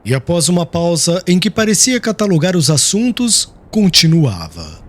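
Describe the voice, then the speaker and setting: A man narrating an audiobook with a measured, expressive reading. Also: sits high. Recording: music under the narration. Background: background music.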